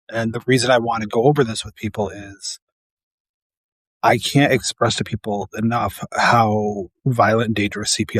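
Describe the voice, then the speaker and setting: A man's voice: fairly low pitch, a bit bright, slightly rough, no echo, low-quality recording. A man who works as a paramedic, talking casually and earnestly to camera, tired and losing his voice.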